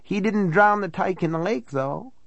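A man's voice: high-pitched, moderate pace, gravelly, fairly bright tone, dry recording, highs cut off, pitched above the performer's own voice, exaggerated voice. A man voicing an older small-town housekeeper woman from an audiobook, blunt, gossipy and matter-of-fact. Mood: gossipy relish. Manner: folksy, matter-of-fact storytelling. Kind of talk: audiobook character dialogue.

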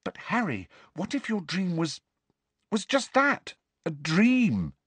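A man's voice: fairly high pitch, sing-song, normal speed, breathy edge, no echo, voice pushed up. A man voicing an anxious, insistent, clever teenage girl in an audiobook, pleading and precise. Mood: fierce, worried. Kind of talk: audiobook character voice.

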